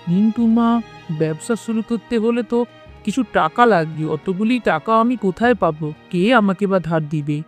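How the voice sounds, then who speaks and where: A man's voice: sits high, a little mellow, close to an everyday voice. Man playing hard-up young cartoon husband. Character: young married son, hard-up, easily swayed husband. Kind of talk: Bengali cartoon story voice acting.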